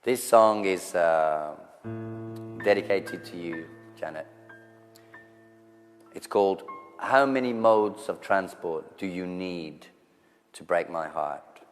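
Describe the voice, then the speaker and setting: A man's voice: low voice, takes it slowly, pauses often, big-room sound, faint music behind. A man voicing a self-pitying, lovelorn male lounge singer with a droopy croon. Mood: mournful.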